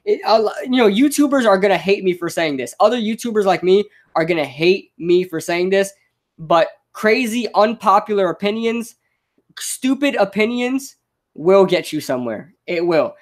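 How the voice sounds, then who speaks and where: A young man, a podcast host with a slight stutter, giving rambling, unscripted advice that is full of quick restarts. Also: sits high, animated. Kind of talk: casual unscripted advice monologue.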